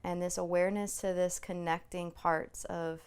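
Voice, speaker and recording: A woman with a lowish, smooth voice that settles into a noticeable creak guides a body scan meditation. She is soothing and unhurried, leaving long pauses between phrases. Her manner is gently permissive and reassuring. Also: little pitch movement. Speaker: meditation instructor.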